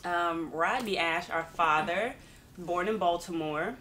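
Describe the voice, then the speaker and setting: A woman in her thirties from Pennsylvania, talking relaxed in an interview and cracking jokes. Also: fairly low pitch, slow pace, slight background noise. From Pennsylvania, USA. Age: 30s. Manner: relaxed, joking, some hesitations. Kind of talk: casual interview.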